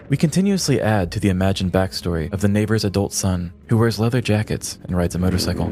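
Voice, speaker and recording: Low-voiced man, dry podcast co-host. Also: noisy background. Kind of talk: casual chat and read-aloud essay.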